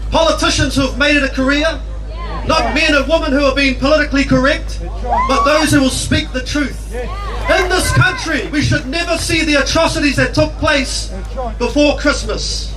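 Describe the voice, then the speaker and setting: Kiwi pastor guy preaching loud at a street rally, high voice, far off mic, big crowd noise behind. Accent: New Zealand. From New Zealand. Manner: preaching, forceful, often shouting. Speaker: pastor giving a rally speech. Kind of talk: outdoor rally speech.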